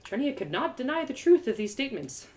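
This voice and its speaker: A woman narrates a fantasy tale as a live storyteller. Her voice sits on the high side and moves widely in pitch. She reads in a dramatic, expressive first-person style. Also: fairly quick. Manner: dramatic, animated. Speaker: storyteller reading aloud.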